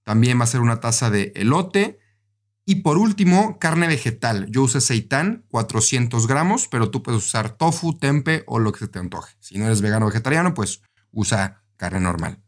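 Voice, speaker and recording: A man's voice: medium pitch, expressive, moderate pace. A man with a Mexican Spanish accent giving a casual, conversational recipe voice-over, speaking directly to the viewer as a cooking host.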